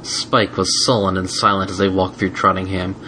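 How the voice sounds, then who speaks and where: A man's voice: deep voice, little pitch movement, normal speed, sharp tone, low-quality recording. A man reading a scripted story aloud as an audiobook narrator, with faint noise on the recording. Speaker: audiobook reader.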